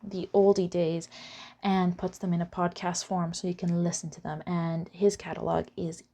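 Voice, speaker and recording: A woman's voice: lowish voice, flat delivery, moderate pace, dark tone. A woman presenting an informal explainer lecture, chatty and given to wry asides. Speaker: presenter of an educational video series.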